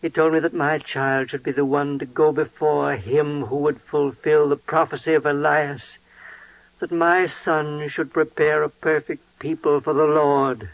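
An actor playing a devout, awestruck priest and father in 1940s radio drama, solemn, halting and declamatory. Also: on the high side, little pitch movement, gravelly, dry recording. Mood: prophetic. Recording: muffled old 1940s radio recording.

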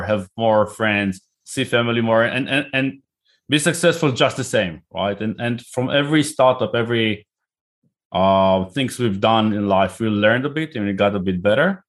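A middle-aged man with an Israeli accent, a guest entrepreneur talking casually in an interview, often repeating words as he starts a thought. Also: medium pitch. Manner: repeats words when starting, chatty and relaxed.

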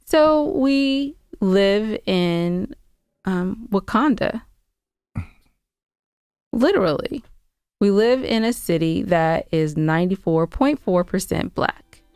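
A woman's voice: mid-range voice, lively intonation, slow pace, pauses often, a little mellow, clear, resonant tone, no room sound, studio quality. An American woman co-hosting a podcast, chatting casually and giving show updates with playful banter. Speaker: podcast co-host.

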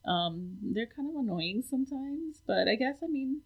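A woman's voice: high-pitched, lively intonation, normal speed, muffled recording. A woman in her forties, born in the United States, talking reflectively as an interview guest with many ums. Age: 40s. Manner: reflective, with frequent fillers. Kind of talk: casual interview chat.

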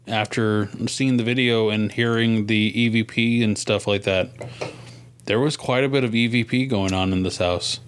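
A man's voice: fairly low pitch, steady tone, normal speed, smooth. A man co-hosting a podcast, chatting casually with wisecracks and anecdotes in an offhand, mildly profane way. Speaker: podcast co-host.

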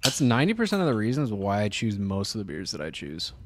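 A man's voice: fairly low pitch, huge pitch swings, quick pace, slight background noise. A young man co-hosting a casual podcast over beers, easygoing and self-deprecating in his banter. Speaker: podcast co-host.

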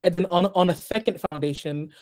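A man born in Haiti and raised in the US, talking as a guest on a podcast interview in a measured way, with many ums and restarts. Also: sits high, fairly even intonation, darkish tone. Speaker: guest artist.

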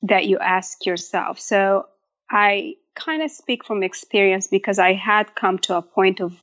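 A woman's voice: medium pitch, unhurried, fairly bright tone, highs cut off. A woman in her thirties from Egypt, a food blogger talking casually as a guest in an interview.

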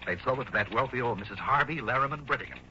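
Flustered, fast-talking American insurance man. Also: medium pitch, bright, forceful tone. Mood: agitated, emphatic. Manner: emphatic, slangy, exasperated. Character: flustered, griping, excitable male insurance man. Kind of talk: 1960s American radio drama acting. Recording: dull, noisy old radio recording.

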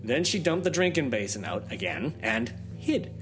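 A man's voice: mid-range voice, sing-song, normal speed, soft background music. A man reading a fairy tale aloud as a storyteller, with dramatic, theatrical expression.

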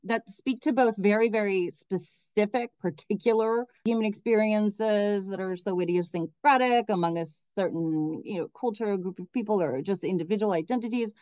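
A woman's voice: mid-range voice, normal speed, few pauses, darkish tone, no room sound, lo-fi sound. A middle-aged woman from Miami, a theater director speaking enthusiastically as a podcast guest, often restarting her sentences. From Miami, United States. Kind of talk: podcast interview.

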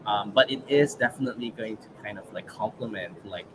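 A man from Malaysia with a Malaysian English accent, a guest in a casual interview, relaxed and thinking aloud with many fillers. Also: on the low side, brisk, noisy background. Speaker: guest, regional manager.

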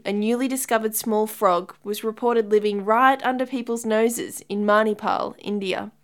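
A woman playing an earnest scientist giving a measured, educational conference lecture in an audio drama. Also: fairly high pitch, natural voice. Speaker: scientist presenter.

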